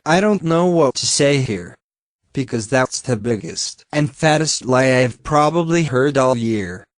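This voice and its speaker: A man's voice: medium pitch, lively intonation, unhurried, dry recording, studio quality. A man, a native Finnish speaker, giving blunt, sarcastic reaction commentary on a video and swearing now and then.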